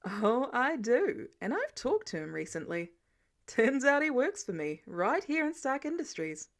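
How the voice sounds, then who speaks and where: A woman's voice: on the high side, big pitch swings, a little slow. A woman voicing a confident, nosy male billionaire boss, nonchalant and probing, in an audiobook. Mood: smug, nonchalant. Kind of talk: audiobook character dialogue.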